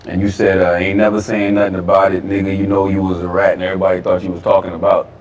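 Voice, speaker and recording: A man's voice: low-pitched, little pitch movement, quick pace, highs cut off, a crowd in the background. A man interviewing a guest, asking direct questions and murmuring along while the guest answers. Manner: frequent murmured backchannels. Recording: distant mic with faint room noise.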